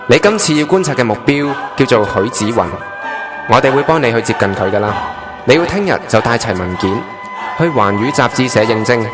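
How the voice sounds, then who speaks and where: A man's voice: medium pitch, expressive, a little fast, lightly acted. A man with a Hong Kong accent playing a solemn, authoritative chief angel giving instructions in a radio drama. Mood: brisk, businesslike. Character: solemn male chief angel briefing a newly made trainee angel. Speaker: voice actor in an online radio drama. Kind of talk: Cantonese radio drama acting. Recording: music bed under the voice.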